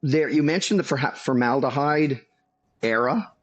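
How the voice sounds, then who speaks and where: A man who is a master hair stylist and salon owner, talking as an interview guest in a measured, storytelling way. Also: mid-range voice. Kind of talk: casual interview talk.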